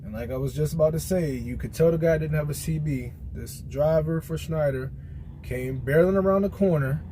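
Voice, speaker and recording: A man's voice: a little high, brisk, mellow, a little hiss or hum. A man talking casually and colloquially, like a truck driver giving a rambling, conversational gear review in a vlog.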